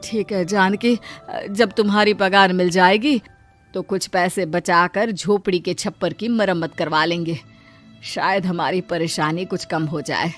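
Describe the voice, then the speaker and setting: A woman's voice: lowish voice, steady tone, unhurried, faint music behind, voice pushed down, natural voice. A woman playing a worried, protective poor labourer husband in a Hindi moral cartoon story. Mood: resigned. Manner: fretful, protective.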